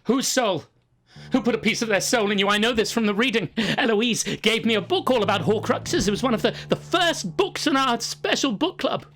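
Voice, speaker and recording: A woman's voice: animated, brisk, fairly bright tone, faint music behind. A woman playing a gullible, flustered old man of eighty-four in improvised comedy, stammering over a video call. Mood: curious. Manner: flustered, stammering, rising to anxious pitch.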